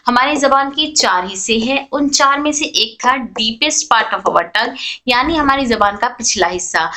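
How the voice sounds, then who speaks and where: A woman's voice: high voice, bright, forceful tone. A woman teaching Quranic letter pronunciation in a lesson, repeating each point and quizzing the listener, mixing English terms into her Hindustani. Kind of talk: explanatory lesson. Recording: echoey room.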